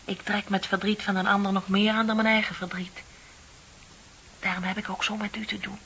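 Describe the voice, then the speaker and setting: An actress playing a bitter, lonely middle-aged office worker in a radio play, confiding and sardonic with dramatic emphasis. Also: female voice, medium pitch, level tone, fairly quick, a bit bright, lightly acted. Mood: mock sympathy. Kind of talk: Dutch radio play acting. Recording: dull, hissy old radio recording.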